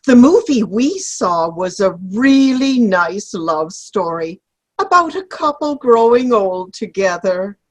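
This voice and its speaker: A mild older woman keeping peace between friends in a stage comedy reading, acted by a woman. Her voice is slightly high and husky. She speaks slowly, with gentle, lively inflection. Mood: conciliatory. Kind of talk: amateur play reading. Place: online video-call play reading.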